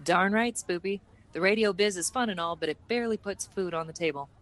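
A woman's voice: medium pitch, fairly quick, fairly bright tone, natural voice. A woman playing a confident, petite truck designer in a scripted comedy radio drama, casual and folksy. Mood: cocky.

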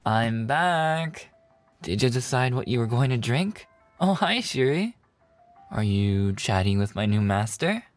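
A man's voice: medium pitch, animated, measured pace, soft background music, close to an everyday voice. A man voicing an eager, adorable young puppy-boy waiter in boyfriend ASMR roleplay, with a cutesy, bubbly, earnest way of talking. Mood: playful, possessive.

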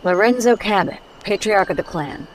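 A woman's voice: medium pitch, animated, moderate pace, slight background noise, lightly acted, rough recording. An actress playing a blunt, world-weary female adventurer in a radio detective drama. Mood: grim recollection. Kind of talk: old-time radio drama acting.

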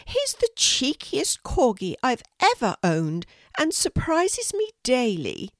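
A woman voicing a gracious, kindly elderly queen with a gentle, genteel manner in a storybook reading. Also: high-pitched, wildly swooping pitch, slow pace, no room sound, clean studio sound, pitched above the performer's own voice, exaggerated voice.

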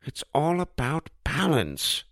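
A man's voice: a little high, wildly swooping pitch, takes it slowly, vocal fry, no room sound, clean studio sound, pitched above the performer's own voice, full character voice. A man doing a kindly old pizza man. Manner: gentle, advice-giving. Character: kindly old male pizza shop owner.

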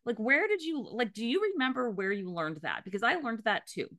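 A woman's voice: on the high side, lively intonation, brisk, fairly bright tone. An American woman from California in a casual podcast discussion, earnest and questioning, often restarting her sentences as she thinks. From California Bay Area, United States. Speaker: podcast guest, counselor educator.

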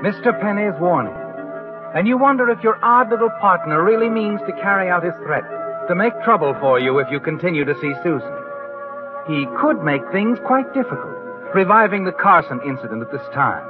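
An actor as the narrator of a 1940s-style radio drama, addressing the listener in a knowing, insinuating, dramatic way. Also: male voice, high voice, animated, fairly quick, phone-quality sound. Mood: ominous. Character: insinuating male narrator, needling the schemer as his conscience. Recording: band-limited vintage radio recording over music. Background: music.

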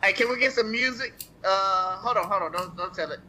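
A man hosting a raunchy comedy podcast, telling stories loudly with animated impressions. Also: sits high, brisk, slight background noise, low-quality recording. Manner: loud, animated storytelling with voiced impressions. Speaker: podcast host. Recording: music playing under the talk.

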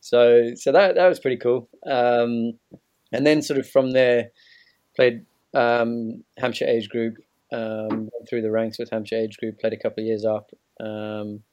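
A man's voice: medium pitch, level tone, measured pace, a little mellow, no room sound. A man in his thirties from Zimbabwe, telling his story conversationally as an easygoing interview guest. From Zimbabwe, moved to England as a child. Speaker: podcast guest, ex-professional cricketer.

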